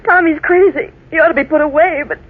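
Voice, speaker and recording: An actress playing a gentle, anxious farm wife in an old radio drama, with earnest, halting, emotional delivery. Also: female voice, sits high, close to an everyday voice. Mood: anxious, haunted. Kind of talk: 1940s radio drama acting. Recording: tinny, noisy old radio recording.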